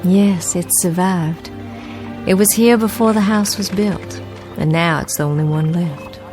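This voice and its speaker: A low-voiced, wistful Southern war widow. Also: female voice, unhurried, music in the background. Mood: wistful, warm. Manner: gracious, reflective. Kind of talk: radio drama acting.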